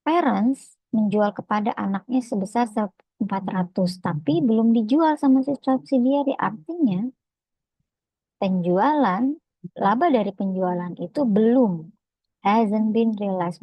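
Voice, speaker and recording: A woman with an Indonesian accent giving a lecture that explains slides, speaking hesitantly with frequent pauses and filler phrases. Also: medium pitch, dry recording, dull audio. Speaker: accounting lecturer.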